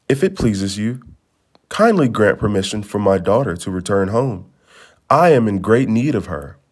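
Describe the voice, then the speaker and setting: A man's voice: lowish voice, expressive, normal speed. A man voicing a deceitful fisherman father in a storybook, formal and humbly pleading. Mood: feigned frailty.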